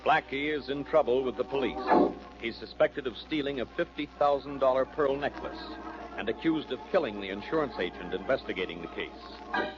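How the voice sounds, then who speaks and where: A man's voice: mid-range voice, moderate pace, over loud music. A man as a radio announcer, reading scripted story narration in 1940s broadcast style. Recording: muffled old radio broadcast.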